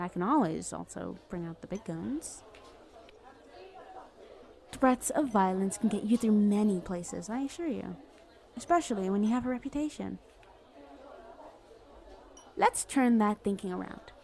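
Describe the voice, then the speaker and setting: A woman playing a smug, lovestruck female villain in an ASMR roleplay, coaxing and teasing the listener in a creaky, conversational voice. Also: medium pitch, a little mellow, natural voice. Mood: smug, persuasive, then boastful, teasing. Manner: teasing, cajoling, conversational with hesitant stammers. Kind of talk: ASMR roleplay, addressing the listener as love interest.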